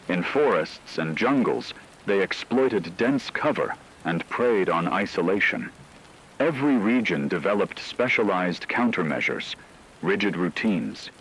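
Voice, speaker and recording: A man reading dramatic scripted fiction narration in a solemn, measured way. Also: sits low, fairly bright tone, slight background noise, poor audio.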